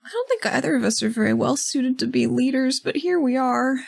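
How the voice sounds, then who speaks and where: A woman playing a troubled, earnest regulator in a tabletop roleplay, speaking in a halting, pensive way and often trailing off. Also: sits high, animated, gravelly, heavily acted. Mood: troubled, pleading. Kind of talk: improvised tabletop roleplay dialogue.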